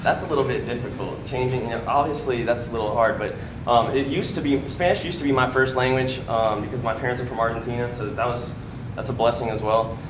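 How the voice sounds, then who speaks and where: A man's voice: mid-range voice, fast talker. A young American man giving a casual motivational testimonial to an audience at a sales event, with lots of fillers and "right?" tags. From United States. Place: large event room before an audience. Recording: echoey room with background noise.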